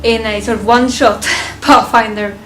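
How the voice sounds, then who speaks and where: A woman giving a casual, unscripted fan review of a ranked list, halting and thinking aloud, often chuckling. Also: a little high, fairly even intonation, fairly bright tone, soft background music, poor audio.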